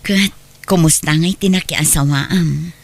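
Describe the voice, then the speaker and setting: A woman's voice: low voice, measured pace, vocal fry, exaggerated voice. A woman playing an emotional, long-suffering mother full of hurt in an audio drama. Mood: remorseful, sorrowful. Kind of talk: Ilocano audio drama acting.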